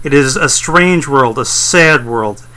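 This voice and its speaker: A man's voice: mid-range voice, expressive, slow pace, bright, forceful tone. A man voicing an old male professor who speaks with grief and fervor in broken, old-fashioned English, read dramatically from a novel. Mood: grieving, fervent. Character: old male professor, grieving, philosophical and fervent. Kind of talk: dramatic reading of a novel excerpt.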